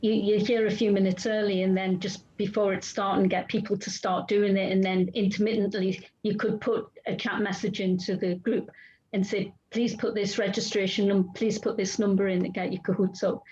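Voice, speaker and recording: A woman's voice: medium pitch, flat delivery, normal speed, a little mellow, slight background noise. A woman talking casually in an online club meeting, explaining how the meeting should run, often restarting her sentences. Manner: rambling, self-correcting, explaining. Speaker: club member. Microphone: video call. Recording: dull lo-fi video call audio.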